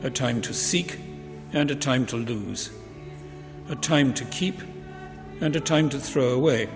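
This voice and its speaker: Older man, solemn scripture reading, unhurried, church reverb, clear music behind. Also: medium pitch. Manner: solemn, measured cadence. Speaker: funeral reader. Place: inside a church at a funeral service.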